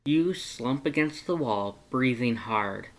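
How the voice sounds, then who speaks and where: A narrator reading fan fiction aloud in an expressive storytelling style. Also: mid-range voice, slow pace.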